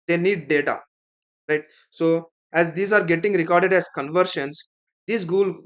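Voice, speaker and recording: A man with an Indian accent and a somewhat high voice works through a screen tutorial. He explains each step as an instructor would. He keeps checking that the listener follows, with small tag words at the ends of his points. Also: fairly bright tone. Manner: explanatory, checks in with "right?" and "okay".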